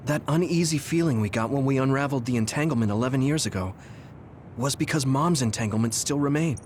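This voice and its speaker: A man playing a serious, thoughtful young squad leader in a video game, speaking in a measured, sincere way. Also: mid-range voice, darkish tone, slight background noise, lightly acted. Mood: subdued.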